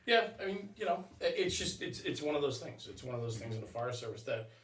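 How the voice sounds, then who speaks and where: A man speaking in a halting, earnest way in a conversational podcast discussion, repeating himself as he goes. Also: a little high, big pitch swings, a bit bright. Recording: roomy echo, distant microphone.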